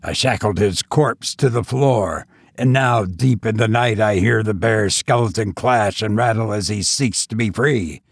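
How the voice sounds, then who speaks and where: A man's voice: fairly low pitch, a little slow, gravelly, hardly any pauses, husky, dry recording, studio quality, full character voice. A man voicing an insane, murderous tavern host who escaped from prison, roaring and laughing madly. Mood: menacing. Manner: roaring, mad mirthless laughter.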